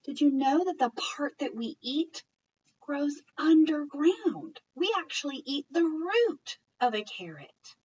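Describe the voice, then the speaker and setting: A slightly distant, muffled recording of a woman with a fairly high voice. She reads aloud for young children and their parents like a teacher, expressive and encouraging, often posing questions. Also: slow pace. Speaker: early childhood educator reading stories. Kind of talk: children's picture-book read-aloud with commentary.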